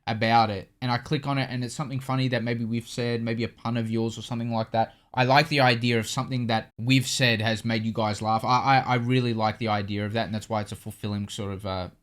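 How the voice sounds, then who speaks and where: A man's voice: mid-range voice, level tone, quick pace, few pauses. Easygoing man in his thirties chatting. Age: 30s. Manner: easygoing, chatty, with restarts and laughs. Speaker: co-host of a reaction channel. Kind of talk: casual chat.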